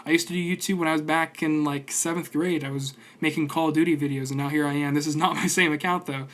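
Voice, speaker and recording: A man's voice: on the high side, fairly even intonation, fast, a bit bright. A man in his twenties giving casual, rambling stock commentary on his stream, full of fillers and restarts. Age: 20s.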